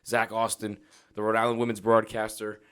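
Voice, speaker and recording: Young guy hosting a podcast, talks quick and a bit low, chatty and easygoing. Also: completely flat delivery, a bit bright, smooth. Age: 20s. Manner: loose and chatty, many "like" fillers. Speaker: podcast host. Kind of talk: casual interview chat.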